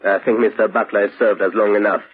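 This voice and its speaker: Suave, sinister low-voiced villain doctor. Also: male voice, flat delivery, husky. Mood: cold menace. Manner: suave, deliberate, menacingly polite, hesitant pauses. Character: sinister male doctor, cold-blooded sabotage ringleader, suavely polite. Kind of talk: 1930s-40s radio thriller acting. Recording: noisy, tinny vintage radio recording.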